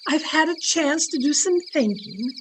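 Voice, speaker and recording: Actress as older meddling aunt for radio comedy: high voice, theatrical and self-righteous, noisy call recording. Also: female voice, animated, normal speed. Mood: earnest, prying. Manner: theatrical, dramatic. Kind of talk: old-time radio comedy acting. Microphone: video call.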